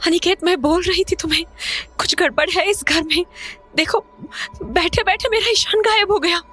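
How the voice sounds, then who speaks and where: A woman's voice: very high-pitched, moderate pace, a bit bright, faint music behind. A woman playing an anxious, fearful mother in a horror audio drama, speaking with urgent agitation. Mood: panicked. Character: anxious, fearful mother haunted by lost brother.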